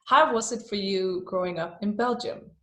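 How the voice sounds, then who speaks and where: Excited woman host in an echoey room. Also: mid-range voice, moderate pace. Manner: excited, chatty. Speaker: podcast host. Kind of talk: casual interview.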